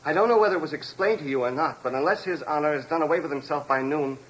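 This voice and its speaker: A man playing a high-voiced, politely menacing madman. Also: fast, natural voice. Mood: calmly menacing. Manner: polite, composed, coldly precise. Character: deranged, calmly menacing escaped male convict with a bomb. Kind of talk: 1950s radio drama acting. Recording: noisy vintage radio recording.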